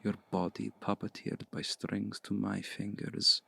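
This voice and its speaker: A man playing a seductive, commanding male vampire in an intimate ASMR roleplay, speaking slowly and deliberately in a hushed, breathy voice close to the mic. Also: level tone, exaggerated voice. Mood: seductive, coaxing. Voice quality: low, gravelly, breathy. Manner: slow, deliberate, hushed, often breathy near-whisper. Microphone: close mic, dry.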